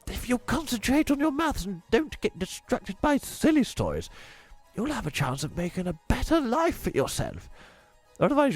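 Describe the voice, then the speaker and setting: A man voicing a strict, scornful middle-aged mother while reading a children's book aloud. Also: full character voice. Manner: shrill, scolding, overbearing.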